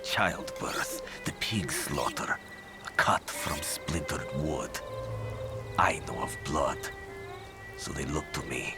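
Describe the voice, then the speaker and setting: A grim village soldier speaks very slowly in an extremely low voice. He is terse and brooding, a battle-hardened game character, with clear music playing behind him. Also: exaggerated voice. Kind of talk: video game character monologue.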